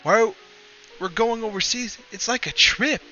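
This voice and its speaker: A man voicing a clingy, excitable young girl in a high pleading voice, reading a story aloud. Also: measured pace, exaggerated voice. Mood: excited. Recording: lo-fi with background music.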